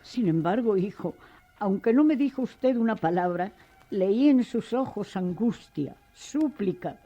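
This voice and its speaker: An actress playing a kind, devout, motherly schoolteacher in a radio drama, speaking with measured, formal compassion. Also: medium pitch, lively intonation, mellow, lo-fi sound, soft background music. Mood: compassionate, solemn. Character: kind, devout, motherly schoolteacher giving gentle moral counsel.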